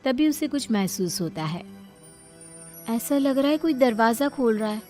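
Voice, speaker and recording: A woman narrates a scripted family tale as a dramatic storyteller. Her voice sits a little high, and faint music runs underneath. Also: lively intonation, a little slow, warm tone.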